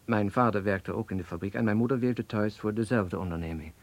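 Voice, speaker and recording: Young zionist radical in a radio drama, earnest n lecturing, lowish rough voice, bit hesitant. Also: male voice, fairly quick. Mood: grave, recollecting. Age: young adult. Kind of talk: Dutch radio play acting.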